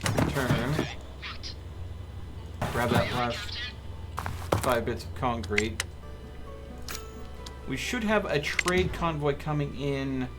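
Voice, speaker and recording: A man doing live gameplay commentary as a streamer, chatting to his viewers and muttering to himself as he plays. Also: mid-range voice. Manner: talks to chat and mutters to himself. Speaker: game streamer.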